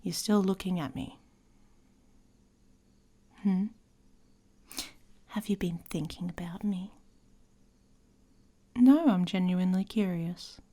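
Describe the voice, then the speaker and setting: Woman playing a husky, whispery, taunting she-wolf. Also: fairly low pitch, dark tone, exaggerated voice. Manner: teasing, sardonic, often dropping into whispers. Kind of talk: intimate ASMR roleplay.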